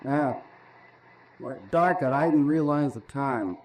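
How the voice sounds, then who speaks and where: An actor playing an eager, fumbling lab assistant in a 1940s radio drama, talking in a casual, folksy way. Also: male voice, medium pitch, slow pace, natural voice, rough recording. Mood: flustered, casual. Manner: casual, excitable, colloquial.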